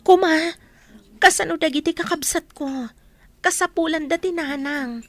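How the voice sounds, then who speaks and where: Very high voice, worried young eldest daughter, dutiful, radio drama. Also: female voice, moderate pace, fairly bright tone, a little hiss or hum.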